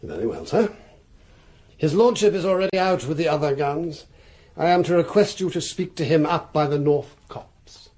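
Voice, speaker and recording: Male actor as household servant, period drama, raised pitch, stiffly formal, measured, deferential. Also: expressive, a little mellow, close to an everyday voice. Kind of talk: period radio drama acting.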